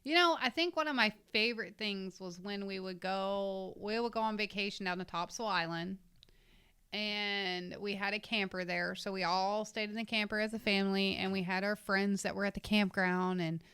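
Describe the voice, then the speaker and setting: Podcast co-host, woman, 30s, rambling storyteller, smooth clear tone, dry close sound. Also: medium pitch, moderate pace, a bit bright, dry recording. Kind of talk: casual chat.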